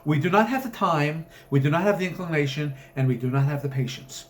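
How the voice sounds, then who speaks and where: Man playing curt, commanding club gentleman, noisy recording. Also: fairly high pitch, brisk, natural voice. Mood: exasperated. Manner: curt, exasperated, wordy politeness. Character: sharp, commanding, impatient university man at a club.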